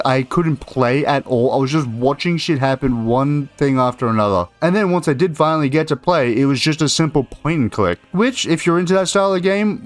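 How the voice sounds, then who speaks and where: A man giving casual, sarcastic video game review commentary, swearing freely as he goes. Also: fairly high pitch. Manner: sarcastic, heavily profane.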